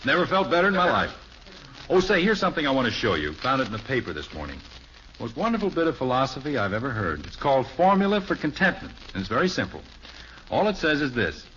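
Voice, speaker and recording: A man's voice: mid-range voice, huge pitch swings, quick pace, fairly bright tone, muffled recording. An actor playing a cheerful, contented middle-aged suburban father in an old radio sitcom, with an expansive, sentimental manner. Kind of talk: 1950s radio sitcom acting. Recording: band-limited old radio recording, faint noise.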